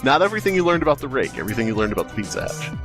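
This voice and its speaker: Guy podcast host goofing around, very lively voice, riffing on dumb bits, bit of music behind. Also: mid-range voice, very fast. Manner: joking, riffing on absurd bits. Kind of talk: casual comedic chat.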